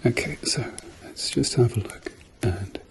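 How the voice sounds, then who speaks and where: A man speaking softly to the listener as a doctor in an ASMR medical roleplay, murmuring reassuringly and often slipping into whispers. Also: mid-range voice, unhurried, creaky. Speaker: ASMR performer playing a doctor.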